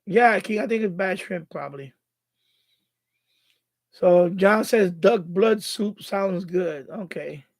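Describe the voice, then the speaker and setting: A man's voice: high voice, slow pace, pauses often, dry recording. A man from the Bronx, chatting casually as a live stream host, thinking aloud and repeating himself. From Bronx, New York City, USA.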